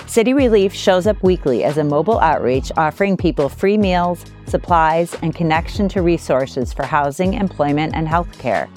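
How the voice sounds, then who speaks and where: Brief: woman co-host, very low voice, measured scripted delivery, soft music under. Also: animated. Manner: measured, reading a prepared script. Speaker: podcast co-host. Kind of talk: scripted intro, then interviewing.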